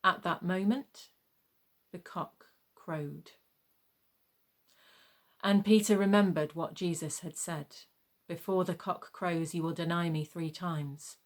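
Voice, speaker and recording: Lower-voiced woman reading scripture. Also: fairly even intonation, slow. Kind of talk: dramatised Bible reading.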